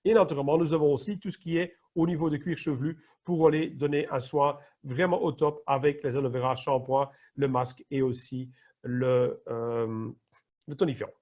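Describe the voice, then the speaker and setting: A man's voice: mid-range voice, steady tone, normal speed, darkish tone. A middle-aged Flemish man, an emergency nurse, explaining health topics in a training session, sometimes searching for his words. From Flanders, Belgium. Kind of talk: online training presentation.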